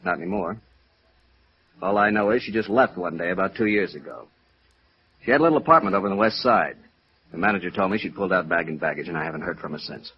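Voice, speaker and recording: An American actor playing a curt, world-weary, bitter doctor in a 1950s radio drama. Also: male voice, deep voice, animated, quick pace, breathy edge. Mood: guarded. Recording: dull lo-fi old radio recording.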